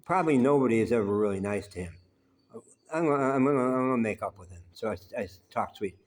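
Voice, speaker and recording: A man telling personal stories in an unhurried, conversational way, pausing often. He has a naturally loud voice. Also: medium pitch, animated. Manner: unhurried and conversational, with frequent "uh" pauses. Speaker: vlogger telling life stories. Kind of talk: rambling personal storytelling.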